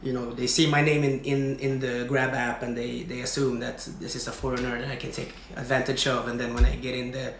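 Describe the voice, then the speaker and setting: A man's voice: mid-range voice, flat delivery, fairly quick, hardly any pauses. A young man from Sweden, a native Swedish speaker, speaking English as a podcast guest, talking casually with many fillers and restarts. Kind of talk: casual conversation. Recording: echoey room, faint noise.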